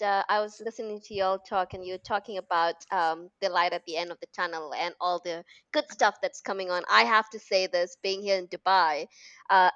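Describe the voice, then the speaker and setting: Expressive woman, mixed British-American accent, dull audio. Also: medium pitch, no room sound. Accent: international mix of British and American. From Malaysia. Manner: expressive, energetic. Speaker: podcast guest. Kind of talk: casual conversational chat.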